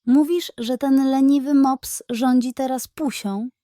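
A woman's voice: high-pitched, fairly even intonation, measured pace, dark tone, no echo, studio quality, voice pushed up. A woman voicing an opinionated, wary male farmyard gander in a children's story, outspoken and questioning. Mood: suspicious, worried.

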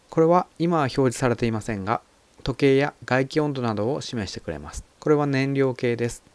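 A man calmly walking through the instruments of a small plane's cockpit in a methodical voice-over. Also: medium pitch, no echo. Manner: calm, methodical, explanatory. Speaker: flight instructor explaining cockpit. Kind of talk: explanatory voice-over narration.

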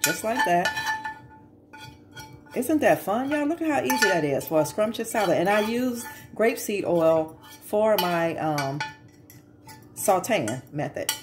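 A woman's voice: mid-range voice, sing-song, unhurried. A middle-aged American woman chatting informally to her viewers in a casual cook-along vlog, recorded in a home kitchen on a camera a short distance away. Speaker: vlog host. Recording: echoey room with background noise.

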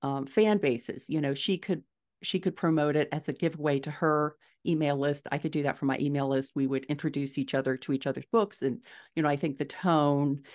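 Middle aged american woman w a low voice, chatting thru her answers like a podcast guest, kinda thinking out loud. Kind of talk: conversational interview answers. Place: living room at home, indoors, on a video call.

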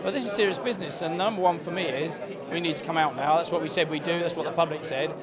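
A man, a party member stopped for a quick interview, giving a firm opinion. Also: a little high, a loud crowd. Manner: firm, quick vox-pop answer.

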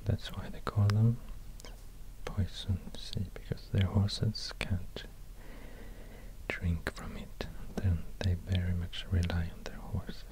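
A man with a Swedish accent murmuring ASMR in a breathy whisper, hesitantly and close to a binaural microphone. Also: low-pitched, steady tone, a little mellow, rough recording. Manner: slow, hesitant, murmuring to the listener. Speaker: ASMR creator. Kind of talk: soft-spoken whispered ASMR.

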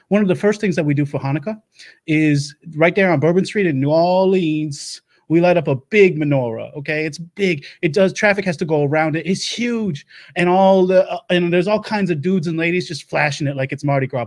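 A man's voice: a little high, natural voice. A man playing Lester, a manic doomsday prepper. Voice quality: rapid, stumbling, loud bursts, drawling asides. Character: rambling Louisiana doomsday-prepper man, manic, self-assured. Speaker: livestream show host. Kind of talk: improv character comedy.

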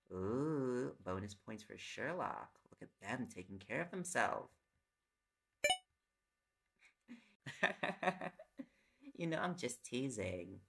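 A man's voice: mid-range voice, creaky, full character voice. A man playing a tired, affectionate young reporter boyfriend in an intimate audio roleplay, playful and coaxing in manner. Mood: tender, playful. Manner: playful, coaxing, rambling when on a topic. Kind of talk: intimate audio roleplay, sleep-aid acting.

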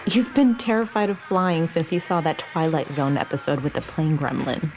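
A practical woman running a restaurant in an audio drama. Her voice sits low, and she talks plainly and gently, a little guarded, with faint music behind. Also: normal speed. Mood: tender, regretful. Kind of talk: naturalistic audio drama acting.

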